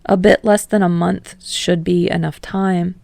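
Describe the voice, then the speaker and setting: A woman's voice: on the low side, level tone, unhurried, vocal fry, a little mellow, no room sound. A woman reading fiction aloud as an audiobook narrator in a scripted storytelling style.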